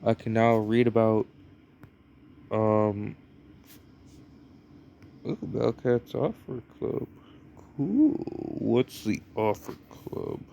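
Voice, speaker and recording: Slow, rambling young American man. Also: lowish voice, animated, gravelly, warm tone, slight background noise. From United States. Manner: rambling, hesitant, many fillers. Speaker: gameplay commentator. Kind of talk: casual gameplay commentary.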